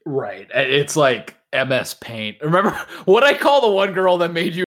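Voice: medium pitch, moderate pace, fairly bright tone. A podcast host with a mid-range voice talks in a loose, casual way about anime and games. The delivery is opinionated and joking, with plenty of casual swearing.

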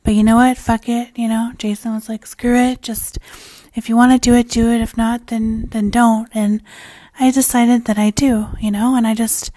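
A woman hosting her own podcast in a casual, rambling solo chat, her voice raspy and hoarse as she loses it, with plenty of fillers and restarts. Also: on the high side, little pitch movement, normal speed, hardly any pauses, mellow, no room sound. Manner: swears casually. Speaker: podcast host.

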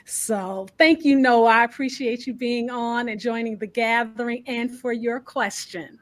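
High-pitched woman hosting a storytelling show. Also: measured pace. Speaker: radio show host.

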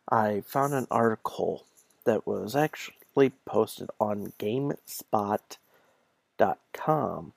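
A man's voice: on the low side, vocal fry, a little mellow, no room sound. A man hosting a podcast solo, talking informally and partly reading from an article. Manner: informal, conversational. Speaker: podcast host.

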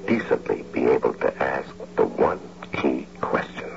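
An actor playing a scheming, smooth-talking husband in an old-time radio drama, with a coaxing manner. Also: male voice, rumbling low, sing-song, a little slow, a little hiss or hum, heavily acted. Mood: wry narration. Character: scheming smooth-talking husband, engineer turned house-husband bank robber. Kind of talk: old-time radio drama acting with first-person narration. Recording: dull, humming old radio recording.

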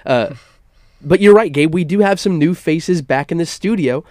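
Stuffy-nosed young college radio host. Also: male voice, fairly high pitch, moderate pace, darkish tone, no echo, studio quality. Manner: upbeat broadcast patter with frequent stammered restarts. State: stuffy nose. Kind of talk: sports talk radio hosting. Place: campus radio studio.

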